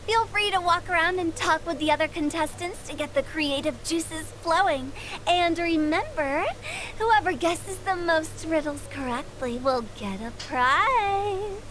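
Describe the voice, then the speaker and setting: A woman's voice: very high voice, unhurried, fairly bright tone, slight background noise. A woman playing a playful young poet hostess who announces with theatrical flourishes in a video game. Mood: explaining, upbeat. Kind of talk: video game character acting.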